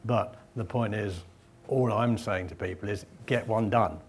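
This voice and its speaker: Seminar speaker, male, lowish pitch, blunt practical answers, light background hiss. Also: measured pace. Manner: direct, plain-spoken, emphatic. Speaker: accountant presenting at a seminar. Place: seminar room.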